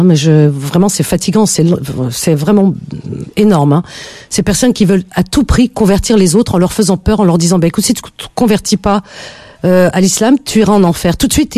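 A woman's voice: medium pitch, mellow, no room sound. A woman hosting a late-night call-in radio show, her voice close and dry at a studio microphone. She talks in a chatty, emphatic way and stacks repeated words when something bothers her. The tone stays conversational.

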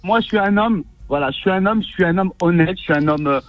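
A man's voice: high voice, fast talker, no echo, highs cut off. A man who is a guest on a radio talk show, speaking earnestly, repeating himself and talking over others. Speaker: studio guest.

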